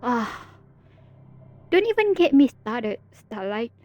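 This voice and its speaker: A woman's voice: on the high side, very animated, slow pace, darkish tone, lo-fi sound, faint music behind. A woman playing a theatrical, playful supervillain in an ASMR roleplay, with a sarcastic, drawling, mock-dramatic delivery. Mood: wry, exasperated. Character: teasing, theatrical female supervillain, playful and world-weary.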